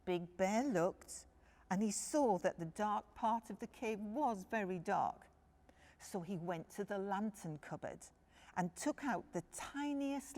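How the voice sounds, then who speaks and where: A woman's voice: mid-range voice, gravelly, a little mellow. A woman reading a picture book to young children, phrasing things simply and speaking to her listeners directly. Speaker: children's storyteller.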